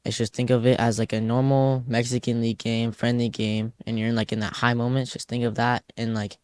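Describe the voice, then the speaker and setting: A man's voice: mid-range voice, level tone, brisk, clear, resonant tone. A teenage boy, a youth soccer player, answering interview questions in a loose, rambling way full of "like" fillers. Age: teens.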